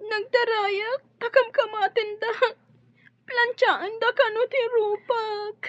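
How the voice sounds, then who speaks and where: Tearful, very high-voiced girl. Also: steady tone, a bit bright, dry recording, heavily acted. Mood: tearful, pleading. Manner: pleading, halting. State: crying. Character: frightened, tearful, abused young servant girl. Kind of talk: radio drama acting. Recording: dull, dry studio sound.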